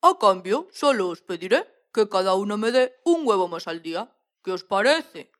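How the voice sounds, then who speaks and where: A woman's voice: medium pitch, sing-song, moderate pace, fairly bright tone. A woman playing a crafty, persuasive farmer in a children's audio story. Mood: bargaining. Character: crafty, persuasive male farmer selling deals to hens.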